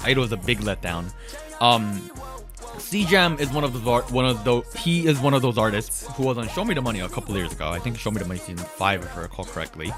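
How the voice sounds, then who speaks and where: A man's voice: medium pitch, fairly bright tone. A man co-hosting a music podcast in casual chat, rambling with frequent ums and self-corrections. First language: Korean. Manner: rambling, many ums and restarts, laughs at himself. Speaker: podcast co-host.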